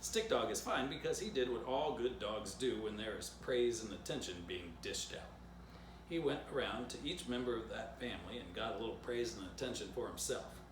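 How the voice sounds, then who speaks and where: A man reads a children's story with very animated intonation and a mid-range pitch. He speaks straight to young listeners in playful asides. The recording is roomy and echoey, with clear background noise. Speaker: author reading own book aloud.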